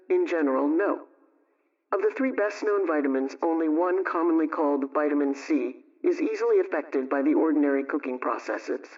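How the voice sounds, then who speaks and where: A man reading scripted household advice and recipes in a measured, instructional way, like a 1920s radio programme host. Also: on the high side, darkish tone. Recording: dull, distant vintage radio sound.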